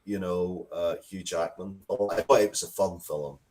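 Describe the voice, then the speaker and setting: Low-voiced man in thoughtful interview chat. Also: fairly even intonation, a little mellow. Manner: halting, thoughtful, repeats words as he goes. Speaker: interview guest, writer of Dracula comics and media. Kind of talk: casual interview conversation.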